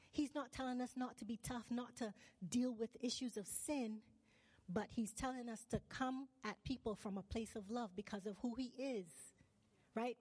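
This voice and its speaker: A woman's voice: a little high, darkish tone. Preaching woman in a reverberant church. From Barbados. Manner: calls for "amen" and "right?", asks questions of the congregation. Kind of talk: sermon.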